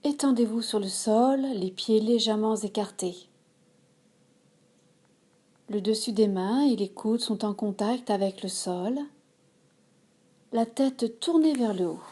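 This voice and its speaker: A woman yoga instructor leading a guided relaxation in a calm, measured manner, giving instructions in short phrases. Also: mid-range voice. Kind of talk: slow guided relaxation meditation.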